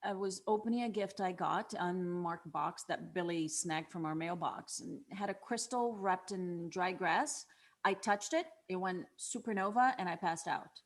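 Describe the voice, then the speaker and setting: A woman's voice: fairly low pitch, a little slow. A woman playing a blunt, impatient young granddaughter in a scripted drama table read. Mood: weary, wary.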